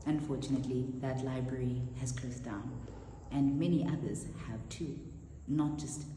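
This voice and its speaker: A woman storyteller telling a personal story in an expressive, measured spoken style. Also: very deep voice. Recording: echoey reverberant room, faint noise.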